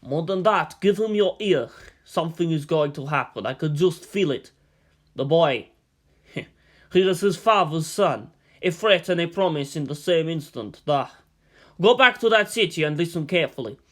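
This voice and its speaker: A man's voice: on the high side, expressive, normal speed. A man voicing a boisterous, commanding male Soviet Premier in a story reading, with an emphatic, grand manner. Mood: grave, intense.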